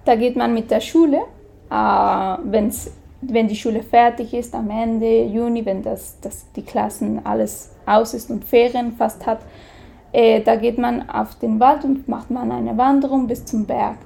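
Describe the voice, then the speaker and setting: Slow-spoken Swiss woman with gravelly voice. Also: on the high side, steady tone, mellow. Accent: Swiss German. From Switzerland. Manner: hesitant, searching for words with many fillers. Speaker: guest. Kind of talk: casual interview.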